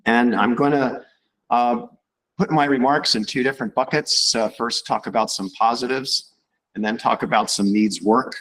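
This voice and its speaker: A man's voice: medium pitch, fairly even intonation, normal speed, fairly bright tone, poor audio. A man with a head cold giving a conversational conference talk as a reviewer, with a wry, informal manner. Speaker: invited reviewer at a workshop.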